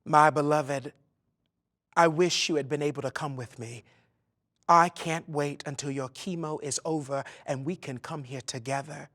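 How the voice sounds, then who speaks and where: A man's voice: a little high, vocal fry, a bit bright. A man, an actor, giving a tender dramatic reading of a letter in a storytelling manner.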